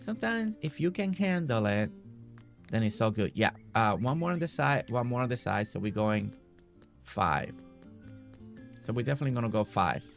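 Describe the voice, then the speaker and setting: Gravelly, congested man chatting on stream. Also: mid-range voice, normal speed, pauses often, soft background music. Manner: relaxed, chatty, talks to viewers by name. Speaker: game streamer. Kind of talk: casual live-stream chat while building.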